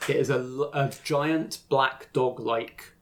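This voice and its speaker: A man running a tabletop role-playing game, explaining things in a measured way and pausing to pick his words. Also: medium pitch, fairly even intonation. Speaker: game master.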